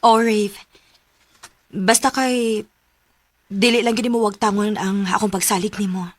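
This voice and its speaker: A woman's voice: medium pitch, fairly even intonation, measured pace, no echo, close to an everyday voice. An actress playing a guarded, frank but forgiving young woman in a radio drama, with a Cebuano Filipino accent. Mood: sincere, cautious. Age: young adult.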